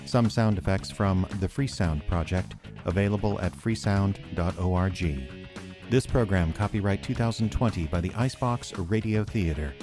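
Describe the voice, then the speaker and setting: A man's voice: low voice, moderate pace. A man announcer giving a scripted end-credits narration for an audio drama. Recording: guitar music behind the voice.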